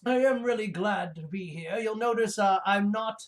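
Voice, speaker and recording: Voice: medium pitch, normal speed, lightly acted. A performer voicing a gloating reptilian mad scientist in human disguise, hosting a comic livestream in a pompous, rambling, villainous manner. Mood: rambling, boastful. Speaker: host of a livestream show. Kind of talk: comic in-character villain monologue.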